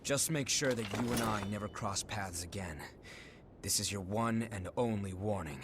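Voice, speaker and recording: Man playing a calm, lowish-voiced principled leader. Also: normal speed, a little hiss or hum, close to an everyday voice. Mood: firm. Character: composed, principled young male revenant leader. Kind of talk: dramatic video game cutscene acting.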